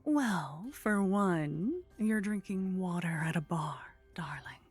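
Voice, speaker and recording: Woman voicing an ancient vampire seductress, breathy and husky, lowish pitch, teasing, haughty, ASMR roleplay, faint music behind. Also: expressive, darkish tone, exaggerated voice. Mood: teasing, seductive. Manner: slow, teasing, breathy murmur slipping into whispers. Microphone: close microphone.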